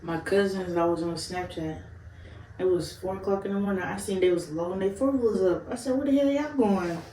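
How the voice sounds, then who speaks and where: A woman's voice: medium pitch, brisk, dark tone, far from the mic, slight background noise. A young woman from Chicago talks candidly as an interview guest, laughing often and cursing without fuss. She sits well back from the microphone in a roomy space with faint ambience behind her. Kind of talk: casual interview chat.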